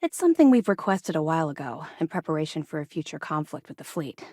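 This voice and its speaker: A tough, driven military officer in a space video game. Her voice sits on the low side for a woman, and she talks in a firm, businesslike way. The recording is very dry, with no room around the voice. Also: very animated, fast talker, warm tone, husky, dry recording, exaggerated voice. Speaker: first mate and mission handler. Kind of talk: video game dialogue acting.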